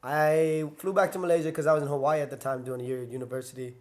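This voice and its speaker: A man's voice: on the high side, flat delivery, fast, a little mellow. A young man, a former fighter and podcast guest, telling his story in a casual, rambling way full of fillers and self-corrections.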